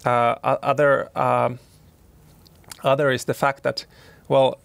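A man's voice: medium pitch, flat delivery, slow pace, vocal fry, fairly bright tone, clear, resonant tone, dry recording. A man in his thirties, a company CEO, answering panel questions in a hesitant way with many restarts and fillers. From Finland.

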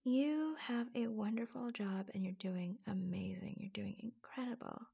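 A woman playing an affectionate, reassuring secretary in a soft-spoken ASMR roleplay, gently hesitant and often dropping into a whisper. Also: medium pitch, gravelly, slightly rough. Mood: tender, wistful. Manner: gentle, hesitant, pausing between short phrases.

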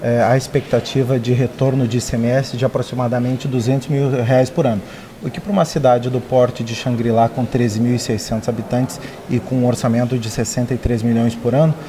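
A man's voice: mid-range voice, little pitch movement, fairly quick, a little hiss or hum. A man in his thirties, a newspaper editor, explaining a story in a live interview with frequent hesitations. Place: open-plan newsroom.

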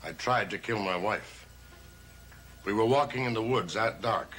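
An older man playing a grave, reassuring grandfather in a gothic soap opera, speaking in a measured, theatrical way. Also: lowish voice, a bit bright. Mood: grave confession. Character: grave, reassuring old grandfather of a cursed family. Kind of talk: 1960s gothic TV soap acting. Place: TV studio set of an old house.

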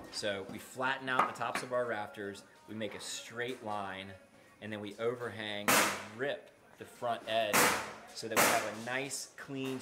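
A middle-aged North American man giving an informal, practical how-to explanation as a builder, in a plain-spoken way. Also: lowish voice. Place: open timber-frame building site. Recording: clear background noise on an open site.

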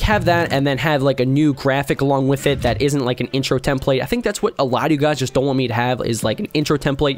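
A young man with a hoarse voice from a sore throat, chatting excitably through casual gameplay commentary. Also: a little high. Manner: chatty, excitable, talks to opponents. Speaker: gaming YouTuber.

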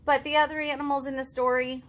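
High-voiced woman leading children's storytime. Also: fast talker. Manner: expressive, encouraging, simple child-directed phrasing. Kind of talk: animated children's storytime, reading aloud and chatting to young children. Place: living room at home.

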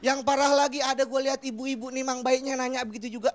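A man's voice: level tone, fast. A young man doing stand-up on a TV studio stage, teasing the audience on a handheld mic. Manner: teasing the audience, acting out quoted people in higher voices. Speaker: stand-up comedian. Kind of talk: stand-up comedy set. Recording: laughing studio crowd, background noise.

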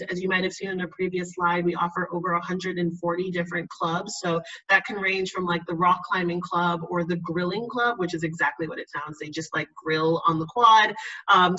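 A woman's voice: on the low side, pushed and bright. A woman from New York City, a college admissions officer, presenting enthusiastically on a video call panel with frequent ums. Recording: distant, dull video call audio.

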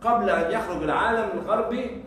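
A man with an Egyptian Arabic accent giving a lively university lecture, teasing the audience with rhetorical questions. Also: high voice, quick pace. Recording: echoey hall from far off, faint noise.

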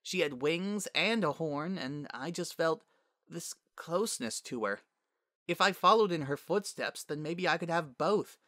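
A feisty young unicorn girl from a storybook fantasy, defiant yet easily wounded, acted out by a man. The voice is lifted high and bright, with a dry, close sound. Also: expressive, unhurried, dry recording. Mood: soft, wistful.

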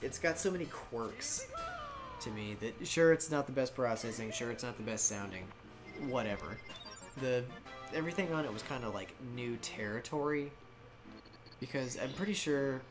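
A man casually chatting while playing a video game, enthusiastic and a little hoarse. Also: mid-range voice. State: losing his voice. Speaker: let's play host. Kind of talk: casual gameplay chat. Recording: game music playing loud behind. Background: game music.

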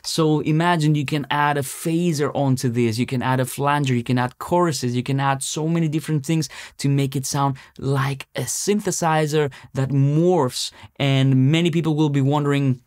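A man's voice: a little high, normal speed, few pauses, a little mellow, no room sound, studio quality. A man in his thirties explaining music production step by step in a conversational tutorial style. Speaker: tutorial presenter. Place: small home-style music studio, indoors.

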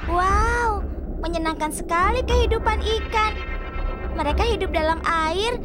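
A woman's voice: extremely high pitch, measured pace. A woman voicing an eager, childlike little girl in a dubbed children's cartoon. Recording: loud music underneath.

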